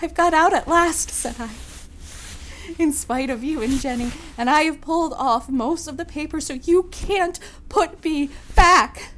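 An actress as an unhinged, high-voiced confined woman. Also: unhurried, fairly bright tone. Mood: eerily gentle. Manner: theatrical, confiding. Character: unhinged woman confined to a room, obsessive, secretive, childlike delusion. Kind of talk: dramatic first-person monologue in an audio drama.

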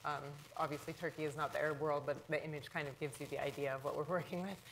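A woman's voice: low-pitched, fairly even intonation, fast, a little hiss or hum. A woman in her thirties giving a public lecture in a hall, talking to the audience in a conversational way and often checking in with "right?". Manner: self-described loud voice.

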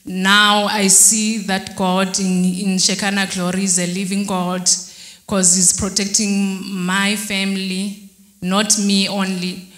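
A young woman giving a church testimony into a handheld mic, halting and hesitant with fillers and restarts. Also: medium pitch, little pitch movement, a bit bright. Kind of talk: testimony interview. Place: church hall backdrop, indoors. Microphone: handheld mic held by interviewer. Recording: roomy hall sound.

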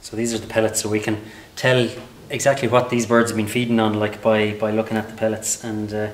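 Low flat-voiced Irish man explaining. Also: brisk, slight background noise. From Ireland. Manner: chatty, explanatory, frequent "like, you know". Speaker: barn owl expert. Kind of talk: explaining while handling birds. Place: inside a large open farm shed.

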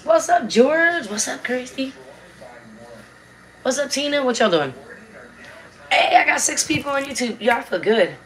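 A woman's voice: high-pitched, expressive, a little slow, pauses often, fairly bright tone. A woman, chatting casually with livestream viewers while she cooks in her home kitchen, talking into a tablet on the counter. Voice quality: raspy. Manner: chatty, greets viewers by name, drawling. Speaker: cooking livestream host. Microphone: tablet on the counter, close.

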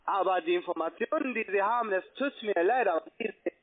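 A man with a foreign accent, not a native German speaker, arguing insistently and haltingly as a call-centre agent on the phone. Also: high voice. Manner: insistent, halting phrasing with repetitions. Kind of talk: argumentative sales phone call. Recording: muffled, band-limited phone line.

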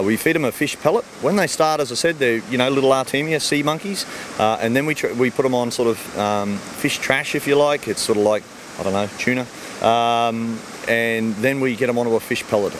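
A middle-aged Australian man explains his fish farm in a relaxed, plain way, talking at a brisk clip. He speaks into a handheld mic outdoors beside the ponds, with a faint hum of ambient noise behind him. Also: medium pitch. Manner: easygoing, explains simply with everyday comparisons. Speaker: fish farmer. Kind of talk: outdoor interview answering kids' questions. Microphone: handheld mic held by interviewer.

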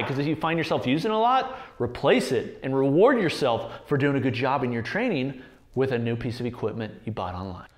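A man in his thirties with an American accent, giving an informal, direct and plain-spoken explainer to camera as a video host in a garage gym. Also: fairly high pitch. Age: 30s. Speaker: fitness equipment reviewer and video host. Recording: roomy echo.

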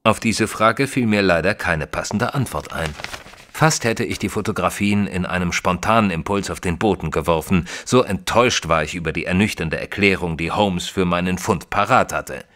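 An actor playing an earnest detective's companion, narrating an audio drama in a measured, literary way. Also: male voice, sits low, a bit bright. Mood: measured, curious.

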